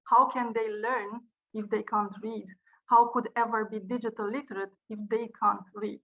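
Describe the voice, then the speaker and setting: Woman, Romanian accent, slightly high pitch; earnest, measured prepared address by video call. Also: flat delivery, muffled recording. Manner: earnest, measured delivery with occasional restarts. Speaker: university director giving a speech. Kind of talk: prepared speech.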